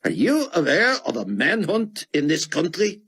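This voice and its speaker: A man's voice: a little high, wildly swooping pitch, vocal fry, a bit bright, slightly rough, pitched above the performer's own voice, heavily acted. Man doing a stiff officer with a heavy accent. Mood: suspicious. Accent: heavy foreign accent. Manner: stilted, slow, commanding phrasing. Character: young male foreign peacekeeper officer, stiff and suspicious.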